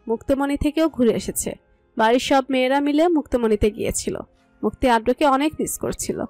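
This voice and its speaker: High-voiced woman telling a story. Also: a little mellow, dry recording. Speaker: narrator. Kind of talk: scripted romantic story narration. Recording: music under the voice. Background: music.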